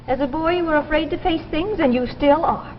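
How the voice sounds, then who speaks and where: An actress playing a practical, exasperated older sister who scolds insistently in a 1950s science fiction drama. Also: female voice, high-pitched, a little fast, natural voice. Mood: exasperated, scolding. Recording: muffled, noisy 1950s broadcast with reverb.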